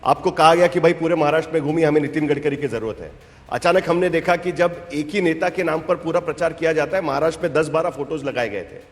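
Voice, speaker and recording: A male interviewer from India speaks in a slightly high voice, pressing his political questions with insistence and repeating them. His words carry the long reverb of a hall. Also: fast talker. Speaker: journalist interviewer.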